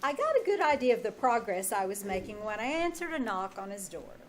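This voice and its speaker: A woman playing a wry, quick-witted English teacher in an old-time radio comedy, with dry, sardonic delivery. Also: a little high, sing-song, a little hiss or hum. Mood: wry, chatty. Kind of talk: old-time radio comedy acting before a live audience.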